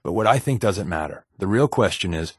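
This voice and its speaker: Wry american spy sidekick in a video game, evasive liar type, lowish voice that swings around a lot, close dry sound. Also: male voice, animated, fairly quick, slightly rough, no echo. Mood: dismissive. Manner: wry, conversational, hesitant asides. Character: wry, evasive spy companion, man, self-confessed liar. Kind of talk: video game companion dialogue.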